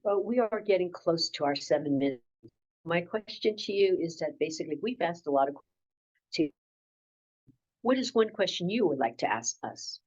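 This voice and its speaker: A woman's voice: fairly low pitch, expressive, moderate pace, a little mellow. A woman interviewer putting formal questions to a candidate. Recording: dull, lo-fi audio.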